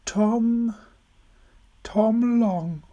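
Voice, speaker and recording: A narrator voicing a little old Victorian woman in an audiobook, insistent, tender and delighted, with drawn-out phrases. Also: medium pitch, dark tone, voice pushed up. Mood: probing. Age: older. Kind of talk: audiobook character voice.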